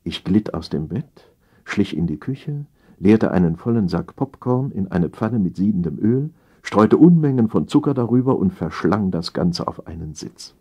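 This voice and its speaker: A man reads scripted satire as an audiobook narrator. His voice is deep and somewhat raspy, and he delivers the lines theatrically with dry comedy. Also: lively intonation, moderate pace, mellow.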